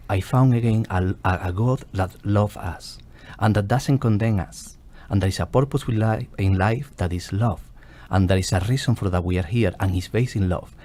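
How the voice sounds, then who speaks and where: Deep gravelly Spanish-accented man. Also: mellow. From Spain. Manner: earnest, searching for English words, laughs easily. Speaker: guest. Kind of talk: radio interview, personal story.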